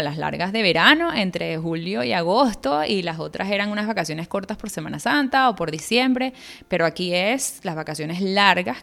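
A Venezuelan woman in her thirties talks alone into the mic as a podcast host. Her voice sits a little low and carries a gravelly fry. She chats along loosely, thinking aloud and restarting small phrases. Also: fairly bright tone. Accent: Venezuelan Spanish. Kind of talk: casual solo podcast monologue.

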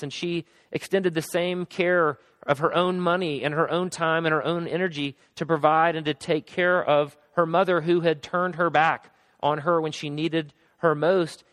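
Earnest hoarse-voiced male pastor preaching. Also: fairly high pitch, fairly bright tone, big-room sound. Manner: earnest, with frequent restarts and repeated words. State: voice going hoarse. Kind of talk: sermon.

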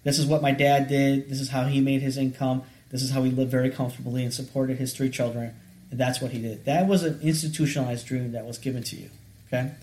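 A man's voice: mid-range voice, little pitch movement, quick pace, a little mellow, slight background noise. A man in his late thirties, a podcast guest, explaining his ideas earnestly and often checking in with "right?" and "okay". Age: 30s. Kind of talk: casual chat.

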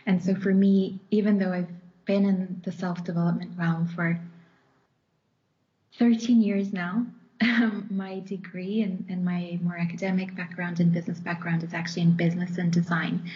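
A woman's voice: on the low side, level tone, moderate pace, dull audio. A woman whose first language is German, a coach chatting as a podcast guest with frequent laughs and tag questions. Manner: laughs often, says "right?" a lot. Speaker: guest, subconscious-belief coach. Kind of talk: casual podcast conversation.

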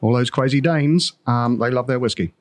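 A man's voice: medium pitch, moderate pace, no echo. A man co-hosting a podcast, chatting in an easygoing way with many ums. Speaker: podcast co-host. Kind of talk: casual chat. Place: warehouse with a bottling line.

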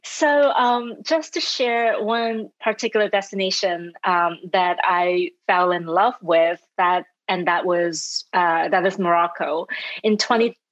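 A woman from Hong Kong, a guest educator, speaking in a measured, thoughtful way in an interview. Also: on the low side, fairly even intonation, dry recording. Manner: measured, thoughtful, light laughs. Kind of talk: interview conversation. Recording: dull muffled sound, dry.

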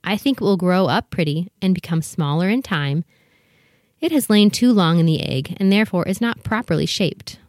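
A woman's voice: lowish voice, expressive, normal speed, darkish tone, no room sound, clean studio sound, lightly acted. A woman playing a proud, fussy, protective mother duck in a storybook dramatization, bustling and motherly as she instructs. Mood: defensive, reassuring. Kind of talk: full cast storybook dramatization.

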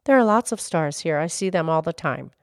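Storybook brooklet, talkative and self-satisfied, murmuring along with lively pitch played by a female reader. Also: brisk, no room sound, studio quality. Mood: indignant chatter. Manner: babbling, murmuring.

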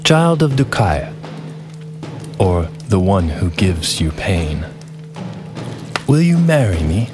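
Man voicing a slow, earnest enchanted snake prince. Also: sits low, sing-song, full character voice. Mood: earnest wooing. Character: lovestruck enchanted male snake prince, pleading. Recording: music behind the voice.

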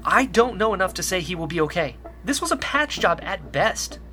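A woman's voice: fairly low pitch, sing-song, moderate pace, music in the background. A woman playing an earnest, anxious young rebel agent and makeshift medic, speaking hesitantly in a sci-fi audio drama. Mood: worried, insistent.

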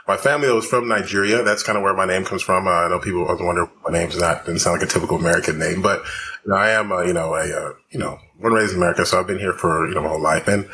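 A man from Houston, Texas, a physician guest talking fast with many fillers in a podcast interview. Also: deep voice, fairly even intonation, sharp tone, a little hiss or hum. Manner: rapid, many fillers and restarts.

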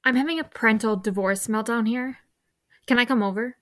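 An actress playing a blunt, cheeky but loyal teenage daughter in an audio drama, talking casually. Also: female voice, on the high side, brisk, fairly bright tone, no echo, clean studio sound, close to an everyday voice. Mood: accusing.

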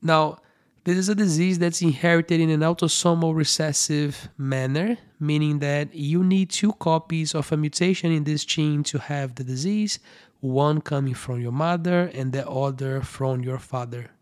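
A man's voice: fairly high pitch, moderate pace. A man, a medical doctor, hosting a podcast and explaining eye research in simple, friendly terms, often checking in with "right?".

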